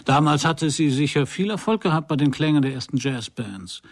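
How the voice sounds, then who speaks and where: An actor playing a wry, unruffled private detective in a radio crime drama, narrating and talking in a dry, polite way. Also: male voice, fairly high pitch, expressive, moderate pace, dry recording. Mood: wry, observant.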